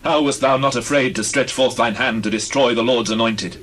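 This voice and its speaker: An actor playing a solemn warrior leader of ancient Israel in slow, measured declamation, in a scripture audio drama on old cassette. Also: male voice, mid-range voice, fairly even intonation, a bit bright, natural voice. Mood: grave questioning. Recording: noisy old cassette tape recording.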